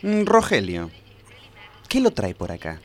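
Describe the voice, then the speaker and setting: An actor playing a naive, self-important amateur detective in a comic radio play, deadpan and theatrical, with an Argentine accent. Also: male voice, on the low side, a little slow.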